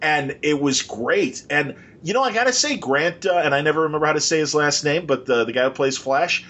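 A man's voice: on the high side, a little fast, fairly bright tone. A man hosting a podcast, chatting casually and enthusiastically in an informal, rambling way. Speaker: podcast host.

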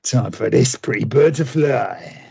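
Gravel-throated, gloating villain, Mister Hyde. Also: male voice, mid-range voice, expressive, a little slow, a little mellow, no echo, full character voice. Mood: taunting threat. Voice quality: raspy, gravelly. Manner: taunting, theatrical drawl. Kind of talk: radio play acting.

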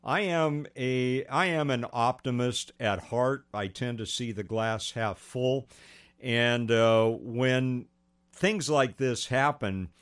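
A man hosting a radio talk show, earnest and measured, with frequent pauses. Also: medium pitch, clean tone.

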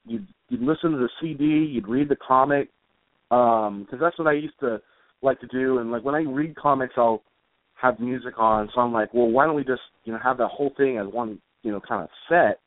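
A man's voice: mid-range voice, moderate pace, no echo. Casual man on a narrowband line, dry sound. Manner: informal, loosely rambling. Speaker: interview guest, comic author and musician. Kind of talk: casual conversational interview.

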